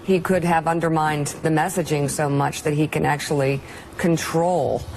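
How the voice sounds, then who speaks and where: A woman speaking as a panelist on a TV news show, measured and serious. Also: low voice, fairly even intonation, darkish tone, a little hiss or hum, rough recording. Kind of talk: TV news commentary.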